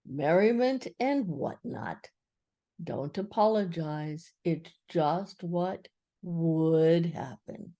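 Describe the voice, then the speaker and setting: A woman voicing a gloomy male donkey in a storybook, bitter and sarcastic with a deadpan manner. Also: deep voice, drawn-out words, exaggerated voice. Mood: bitter, resigned.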